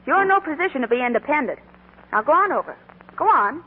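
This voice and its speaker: A woman's voice: sits high, big pitch swings, fast talker. A woman playing a kindly, bossy young shopgirl in a 1940s radio comedy. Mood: coaxing, firm. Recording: tinny, noisy 1940s radio recording.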